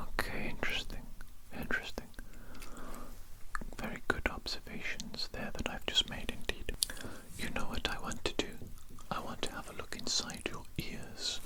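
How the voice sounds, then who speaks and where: A man playing a sinister, contemptuous evil doctor in an ASMR roleplay, in a breathy whisper with slow, repetitive, condescending phrasing. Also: lightly acted, rough recording.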